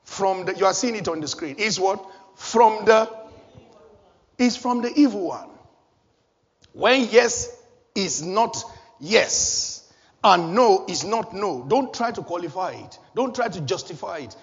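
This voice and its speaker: Gravelly male preacher in an echoey hall. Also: sits high, unhurried, long pauses. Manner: animated preaching, laughing at his own jokes. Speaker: pastor. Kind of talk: sermon. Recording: dull echoey hall recording.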